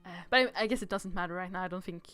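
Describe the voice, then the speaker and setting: A woman's voice: fairly low pitch, rapid-fire, a bit bright, smooth, soft background music. A woman chatting and thinking aloud hesitantly while she plays a game demo on a livestream. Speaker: streamer playing a demo, guest. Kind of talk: livestream playthrough chat with read-aloud dialogue.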